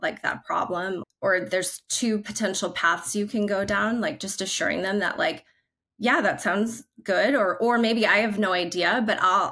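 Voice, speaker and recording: Bright-voiced woman on a video call. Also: mid-range voice, gravelly. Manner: chatty, upbeat, many "like" fillers. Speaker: guest, creative brand leader. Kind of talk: casual video-call interview. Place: home living room. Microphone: video call, laptop camera.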